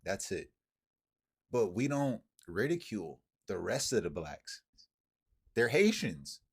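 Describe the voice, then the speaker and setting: A man's voice: fairly low pitch, very animated, slow, breathy edge. A man delivering a heated, profane monologue, firing off rhetorical questions at his viewers. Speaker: commentator.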